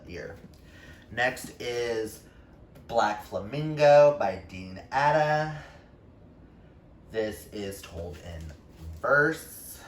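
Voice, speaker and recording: A man chatting casually about books as a vlogger, gushing and emphatic with dramatic pauses. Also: lowish voice. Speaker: book reviewer vlogger, reading challenge co-host. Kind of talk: casual book vlog chat. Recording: roomy, distant mic, faint noise.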